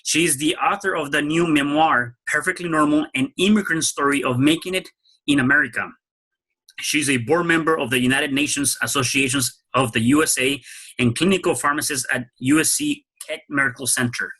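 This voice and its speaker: A man's voice: on the high side, fairly quick, bright, forceful tone, husky, low-quality recording. A man hosting an interview podcast, introducing his guest and asking questions with many fillers and restarts. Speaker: podcast host.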